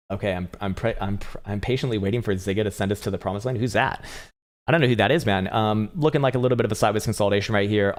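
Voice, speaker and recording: A man hosting a live crypto trading stream, talking loosely and profanely with halting restarts as he reads viewer chat, his voice getting strained and hoarse. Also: lowish voice. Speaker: crypto trading livestream host. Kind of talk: casual live-stream chart commentary.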